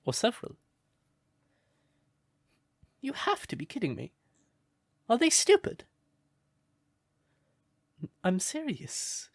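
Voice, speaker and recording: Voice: mid-range voice, wildly swooping pitch, slow pace, long pauses, no room sound, studio quality, heavily acted. A performer voicing a shy, smitten crow turned human in an intimate comfort roleplay, hesitant and stammering, naively earnest. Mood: earnest, admiring.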